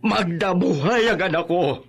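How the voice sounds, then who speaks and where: A loyal, elderly father speaks in a horror audio drama. His voice is husky and halting, turning emphatic as he refuses to doubt. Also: high voice, exaggerated voice. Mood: disbelieving, defensive. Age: older. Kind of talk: horror radio drama acting.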